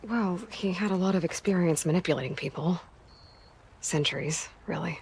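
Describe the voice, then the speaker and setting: A woman's voice: fairly low pitch, normal speed, a little mellow, breathy edge. An actress playing a guarded, earnest young woman adventurer in a video game cutscene, speaking naturally with hesitant, understated sincerity. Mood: gentle, reassuring.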